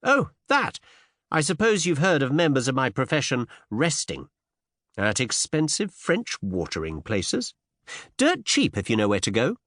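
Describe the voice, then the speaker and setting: A man's voice: medium pitch, huge pitch swings, measured pace, a bit bright, dry recording, studio quality, pitched above the performer's own voice, exaggerated voice. A man voicing a cheeky, bold young woman in an audiobook, pert and teasing, with eager curiosity and old-fashioned slang. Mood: airy, teasing. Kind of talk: audiobook character dialogue with period slang.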